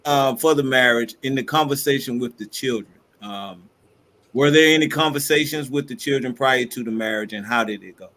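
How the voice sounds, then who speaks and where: A man's voice: medium pitch, moderate pace, a bit bright. A man moderating a panel, asking the guests questions in a loose way.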